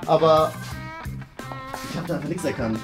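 A man's voice: a little high, normal speed, music in the background. A man in his thirties with a German accent, casually hosting and commenting on a guessing game in a room. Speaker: host and contestant of a guessing game show. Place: medium-sized indoor room.

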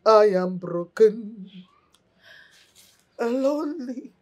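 High-voiced, childlike, disturbed young Nigerian woman. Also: lively intonation, extremely slow, darkish tone, heavily acted. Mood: tearful, desperate. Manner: repetitive, rambling, childlike speech. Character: childlike, mentally disturbed young woman grieving her brother. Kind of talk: film drama acting.

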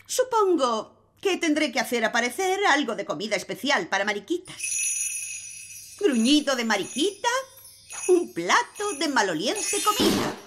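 A bossy prim fairy nanny, high and very lively, music behind, kids cartoon. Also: female voice, normal speed. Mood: exasperated. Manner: prim, theatrical. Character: strict, fussy adult female fairy nanny. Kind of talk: animated children's cartoon dub.

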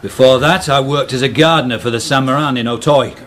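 Actor as grave servant witness. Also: male voice, mid-range voice, fairly quick, a bit bright, natural voice. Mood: solemn. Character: grave servant, former gardener, testifying in court. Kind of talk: radio drama acting. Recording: music behind.

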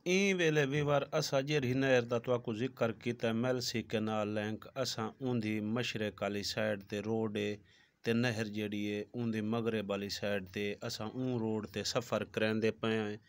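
A man's voice: lowish voice, fairly even intonation, normal speed, no echo. A man in his thirties from Punjab, Pakistan, narrating a village vlog to his viewers on a phone held at arm's length. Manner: talks to viewers, repeats "viewers" often. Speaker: village vlogger. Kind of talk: casual travel vlog narration. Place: outdoors on village roads, then a shoe shop.

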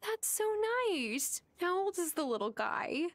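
A woman's voice: high-pitched, big pitch swings, measured pace, dry recording, full character voice. A woman playing a friendly, upbeat young newcomer in a video game. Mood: friendly, curious. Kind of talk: video game character acting. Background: music.

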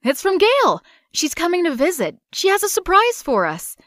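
Woman doing a wry, wistful young narrator with a lively, animated pitch. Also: moderate pace, dry recording, clean studio sound, heavily acted. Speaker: narrator of her own past. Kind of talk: comic dub narration.

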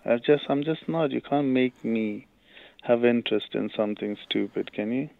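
A man's voice: mid-range voice, normal speed, creaky, no echo. A man on a casual phone call, answering back in a dismissive, unimpressed way. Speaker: prank call recipient.

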